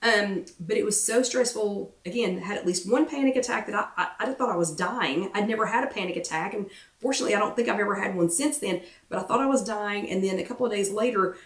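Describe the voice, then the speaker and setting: Chatty woman with a croaky voice. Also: medium pitch, quick pace, distant mic. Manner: chatty, repeats herself for emphasis, many ums. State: voice getting croaky. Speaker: wellness workshop host. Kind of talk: casual motivational chat to viewers. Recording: roomy echo, away from the mic.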